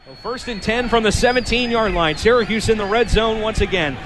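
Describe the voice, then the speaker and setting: Casting: American male radio play-by-play announcer, high-pitched voice, calling live action. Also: brisk, over music. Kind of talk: live sports commentary.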